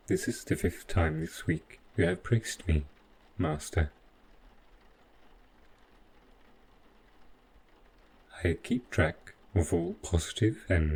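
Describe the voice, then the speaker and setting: A low-pitched robot butler, acted by a man, speaks in formal, measured, machine-like phrases. He is devoted and overprotective, serving the listener in a sci-fi audio roleplay. There is clear background noise in the recording. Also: a little mellow, low-quality recording. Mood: formal, obedient.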